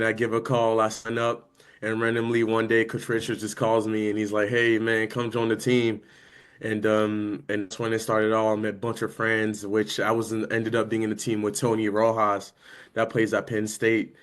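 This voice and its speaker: A man's voice: on the low side, monotone, normal speed, fairly bright tone, clear, resonant tone. A young man from France, a native French speaker, talking casually as a guest about his football career, with lots of "I mean" fillers. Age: young adult. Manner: easygoing, many "I mean" fillers. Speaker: guest, college linebacker. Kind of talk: casual interview.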